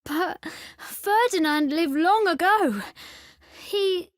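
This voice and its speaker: A woman's voice: very high-pitched, lively intonation, slow pace, no room sound, clean studio sound, heavily acted. An actress playing a sincere, wide-eyed young boy king in a video game. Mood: puzzled, astonished.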